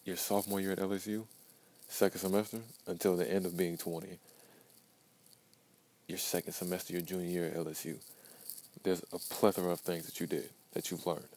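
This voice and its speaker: A man's voice: sits low, little pitch movement, brisk, long pauses, darkish tone, slight background noise. A man in his early twenties talking to himself in a blunt, profane podcast monologue, repeating phrases for emphasis. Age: 20s. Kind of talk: self-talk pep monologue.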